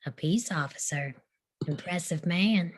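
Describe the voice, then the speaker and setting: A woman's voice: fairly low pitch, measured pace, husky. A woman playing a cool, curious lady of the Old West in a live table read.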